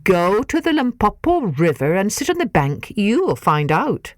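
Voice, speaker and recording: A woman doing a calm, wise bird. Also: medium pitch, normal speed, dry recording, studio quality, lightly acted.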